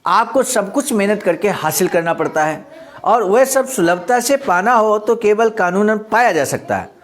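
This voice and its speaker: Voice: mid-range voice, lively intonation, moderate pace. A presenter reads a speech aloud and explains it in a didactic way, repeating points for emphasis.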